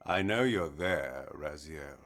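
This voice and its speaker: A man playing an imperious, cynical vampire lord in a video game, delivering measured, archaic, theatrical speeches. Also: rumbling low, expressive, a bit bright, full character voice. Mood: sardonic, cryptic. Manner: grandiloquent, measured, archaic rhetoric. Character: imperious male vampire lord, cynical, cunning, grandly fatalistic. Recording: music behind the voice.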